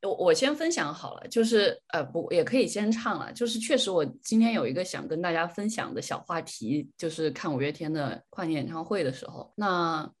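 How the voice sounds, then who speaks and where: A woman's voice: fairly low pitch, expressive, a little fast, few pauses. A woman hosting a podcast in casual chat, talking with a fan's giggly enthusiasm. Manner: giggly, enthusiastic fan talk. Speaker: podcast host. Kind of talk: casual chat among friends.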